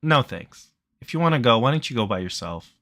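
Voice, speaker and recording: A man's voice: mid-range voice, big pitch swings, brisk, sharp tone, faint music behind. A man voicing a young woman, a reluctant girlfriend in a visual novel, disappointed and dismissive.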